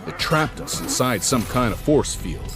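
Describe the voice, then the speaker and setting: An actor playing a gruff, folksy middle-aged survivor who spins tall tales in a wry, rambling, colloquial way for a video game. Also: male voice, mid-range voice, sing-song, measured pace. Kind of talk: video game story narration in character. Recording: music behind the voice. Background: prominent music.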